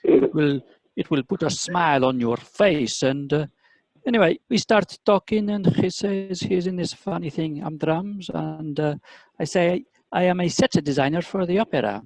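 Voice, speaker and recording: A man playing a haughty Spanish set designer in a comic radio play, with a Spanish accent and blunt, halting English. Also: on the high side, a little mellow, no room sound. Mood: matter-of-fact.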